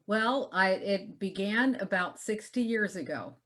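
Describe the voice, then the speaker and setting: A woman's voice: mid-range voice, lively intonation, unhurried, a bit bright. A woman in her sixties, a guest on a video-call interview, telling her story in a gracious, reflective way. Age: 60s.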